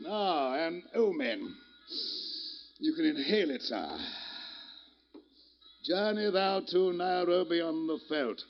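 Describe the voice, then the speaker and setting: A theatrical, eccentric gentleman with a high, very animated voice. He speaks in florid, grandiose phrases like an oracle. It is 1940s radio drama with dull, vintage sound. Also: slow, long pauses, faint music behind. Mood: grand, oracular. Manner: florid, grandiose, archaic diction.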